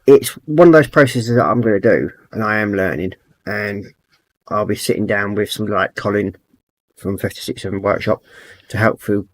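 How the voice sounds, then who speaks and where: A middle-aged man with a croaky throat, chatting easygoing and rambling as he hosts a livestream, reading out messages from chat. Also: on the low side, a little fast, no echo, low-quality recording. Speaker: livestream host. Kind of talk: casual livestream chat while painting models.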